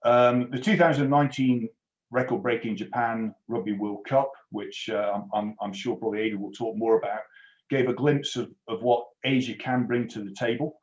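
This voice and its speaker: A man's voice: medium pitch, fairly even intonation, normal speed. A middle-aged man from Scotland with a mild Scottish accent, presenting on a webinar over a headset, dry and self-deprecating with many fillers. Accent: mild Scottish, softened. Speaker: rugby union executive, webinar guest. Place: home office. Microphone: headset on a video call. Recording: roomy, dull video call audio.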